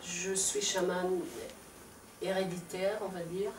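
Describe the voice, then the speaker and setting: A woman's voice: fairly low pitch, level tone, slow pace, slight background noise. A woman with a Mongolian accent, speaking as presenter and interpreter in a live conference talk, hesitant with frequent fillers. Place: lecture room. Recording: echoey room, mic far off.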